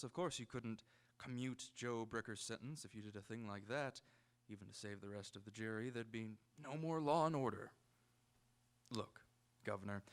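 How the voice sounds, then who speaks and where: A man playing a stubborn, dignified judge in a live radio drama, measured and authoritative. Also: medium pitch, fairly bright tone, lightly acted. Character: stubborn, dignified, self-assured male judge. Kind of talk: live old-time radio drama acting.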